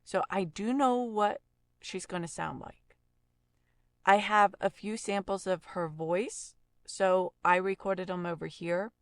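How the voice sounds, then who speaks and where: Female software instructor, unhurried and plainspoken, casual step-by-step delivery, very dry close recording. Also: mid-range voice, no echo. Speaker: tutorial instructor and voice actor.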